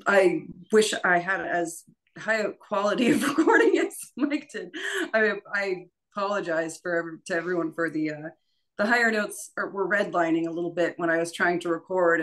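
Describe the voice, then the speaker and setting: Female session leader, lower register, conversational teaching, stop-and-start phrasing sprinkled with small laughs. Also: fairly bright tone. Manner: halting, thinking aloud, with frequent chuckles. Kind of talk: informal teaching discussion in an online session.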